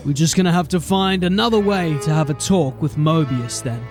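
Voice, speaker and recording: A police sergeant in a dark fantasy audio drama, performed by a man, pitched high for a male voice. He is brisk and formal, giving orders with dry, clipped authority. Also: soft background music, close to an everyday voice. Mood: dry, determined.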